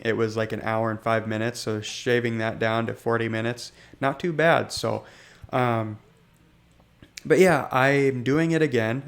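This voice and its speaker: A hoarse young man recovering from illness, casually rambling through gameplay commentary with lots of pauses and fillers. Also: medium pitch. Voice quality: raspy. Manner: rambling, hesitant, lots of ums. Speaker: gaming channel host.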